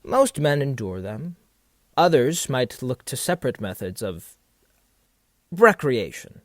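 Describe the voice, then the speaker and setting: An actor playing a vain, scheming, seductive military captain in a florid, theatrical audio drama style. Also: male voice, mid-range voice, sing-song, no echo, studio quality. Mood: sly, seductive. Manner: theatrical, florid, pausing rhetorically. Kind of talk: stage-play style period audio drama acting.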